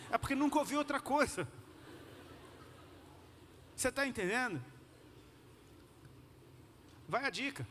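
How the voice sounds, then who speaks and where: Brazilian male preacher, bright and forceful high voice, informal slangy sermon delivery. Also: expressive, unhurried, pauses often. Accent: Brazilian Portuguese. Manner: colloquial, slangy, gets listeners to repeat phrases. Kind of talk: conversational sermon with personal anecdotes. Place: large hall, preaching to a congregation. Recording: echoey hall with noticeable background noise.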